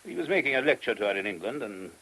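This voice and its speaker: A man's voice: mid-range voice, sing-song, fast talker, pushed and bright, breathy edge, exaggerated voice, low-quality recording. An actor playing a genteel, discreet male abbot in a radio play, tactful and donnish, picking words with hesitation.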